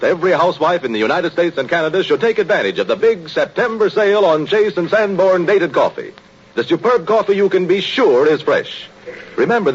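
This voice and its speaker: A man's voice: sits high, husky. A man reading a scripted 1930s radio commercial in an emphatic, declamatory way. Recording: muffled vintage radio recording.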